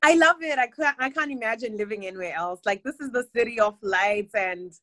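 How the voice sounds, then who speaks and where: A woman's voice: on the high side, brisk, fairly bright tone. Chatty woman from Zimbabwe. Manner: chatty, laughs easily. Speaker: guest musician. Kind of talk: casual interview chat. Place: based in London, on a remote call.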